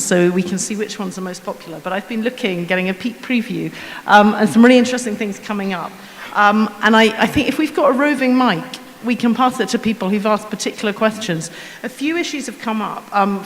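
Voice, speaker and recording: Woman running the q&a at a conference, brisk n upbeat, echoey hall, bit of hiss. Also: mid-range voice. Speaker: panel moderator. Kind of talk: moderating a Q and A.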